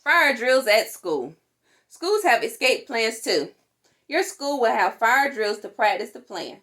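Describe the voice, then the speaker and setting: Animated high-voiced woman reading to children. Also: a little slow. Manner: animated, instructive, acts out sound words. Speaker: storytime reader. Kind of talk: expressive read-aloud of a children's safety book.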